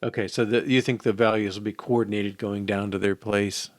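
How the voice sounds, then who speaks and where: A man's voice: on the low side, little pitch movement, fairly quick, no room sound. An older man thinking aloud as he programs, in a casual, hesitant mutter to himself. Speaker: programmer live-coding a mobile app. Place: small indoor room at a desk.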